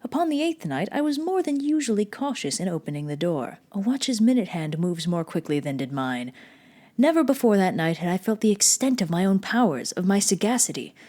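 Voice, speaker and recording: A woman performing a dramatic gothic horror reading as a mad, obsessive murderer who insists on being sane, theatrical and deliberate, moving between hushed and swelling tones. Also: medium pitch, big pitch swings. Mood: gloating, sly.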